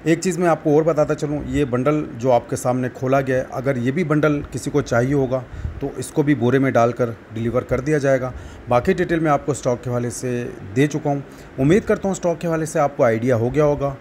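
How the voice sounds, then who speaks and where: Mellow-voiced Pakistani man pitching stock. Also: a little fast, a little hiss or hum. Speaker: wholesale market seller and channel host. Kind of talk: sales pitch narration over product demonstration.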